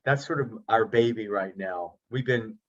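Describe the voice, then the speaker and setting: A man's voice: lowish voice, moderate pace. Easygoing middle-aged man, slightly lo-fi. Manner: easygoing, chatty, self-deprecating jokes. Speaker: actor and producer. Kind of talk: interview guest over video call. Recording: slightly dull lo-fi audio.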